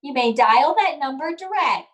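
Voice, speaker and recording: A woman's voice: high-pitched, animated, normal speed, background noise. A woman playing a formal, courteous but unhelpful telephone operator in an old-time radio drama, speaking in set procedural phrases. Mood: detached, polite.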